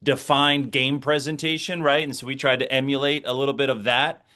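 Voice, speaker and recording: A man's voice: mid-range voice, little pitch movement, brisk, pushed and bright. A middle-aged American man, a sports announcer, speaking conversationally as an interview guest and reflecting through anecdotes.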